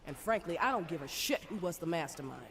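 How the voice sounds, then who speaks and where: An actor playing a coldly pragmatic, unforgiving survivor in a video game, speaking bluntly and decisively. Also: male voice, a little high, expressive, fast, a bit bright, a little hiss or hum. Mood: stern, unrepentant. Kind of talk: dramatic video game monologue.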